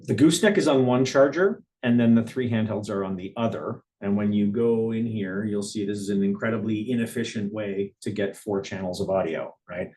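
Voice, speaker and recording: Man, fairly low pitch, webinar presenter, casual technical explanation, conversational delivery. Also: darkish tone. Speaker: webinar presenter, product demonstrator. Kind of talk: live product demo, casual technical presentation.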